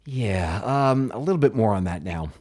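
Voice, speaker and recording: A man's voice: lowish voice, lively intonation, moderate pace, a little mellow. An American man co-hosting a comedy podcast, telling a history story in a playful, hammy way with jokey asides. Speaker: podcast co-host presenting a story.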